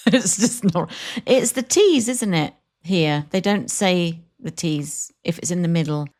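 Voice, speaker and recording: A woman's voice: fairly low pitch, animated, slow pace, darkish tone. A woman co-hosting a podcast, chatting casually and rambling, laughing easily. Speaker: podcast co-host. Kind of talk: casual chat.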